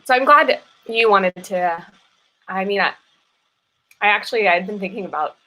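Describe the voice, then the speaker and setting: A woman's voice: medium pitch, normal speed, pushed and bright, natural voice, poor audio. A woman in a live play reading, playing a guarded but serene woman with a wry, hesitant way of talking. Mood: wary, puzzled. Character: guarded, serene woman meeting an old friend.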